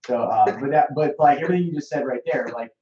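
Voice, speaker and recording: A man's voice: mid-range voice, fast talker, dark tone, far from the mic. A man in his thirties with an American accent, chatting casually as a webcast co-host in a chummy, slangy way. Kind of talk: casual chat. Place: small bright office, indoors. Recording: echoey, dull, off-mic.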